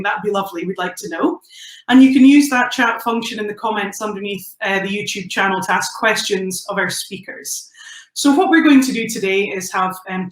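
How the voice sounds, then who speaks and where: A woman's voice: medium pitch, reverberant, poor audio. A woman with a Scottish accent hosting a live online science event, chatty and welcoming as she greets viewers and introduces speakers. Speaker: host.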